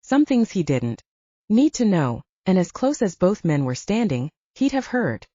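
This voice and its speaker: A woman reading scripted fiction as an audiobook narrator, in an expressive, dramatic storytelling manner. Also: mid-range voice, measured pace, no echo, highs cut off.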